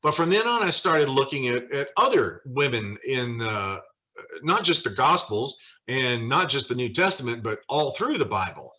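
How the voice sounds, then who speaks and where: A mature man with a bright, forward voice at a medium pitch leads a study group over a video call. He lectures informally, slipping into animated storytelling and acting out dialogue as he goes. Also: normal speed, poor audio. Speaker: Bible study leader. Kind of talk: informal lecture with personal anecdotes.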